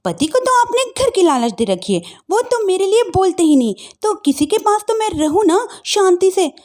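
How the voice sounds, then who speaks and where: An exasperated young daughter-in-law, performed by a woman in a family story. Her voice is high and swoops expressively, pleading and plaintive but with a cheeky sting. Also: very animated, normal speed, a little mellow. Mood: defiant.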